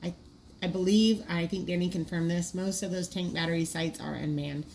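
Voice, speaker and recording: A woman's voice: fairly low pitch, fairly even intonation, moderate pace, creaky, a little mellow, slight background noise. A woman giving an informal walkthrough as a trainer, practical and explanatory, often thinking aloud. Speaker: trainer presenting draft dispatcher training cards.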